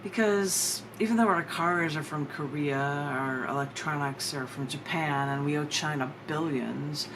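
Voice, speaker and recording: A woman with an American accent giving a live poetry reading, with theatrical, drawn-out emphasis on her words. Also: low voice. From United States. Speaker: poet. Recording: clear background noise.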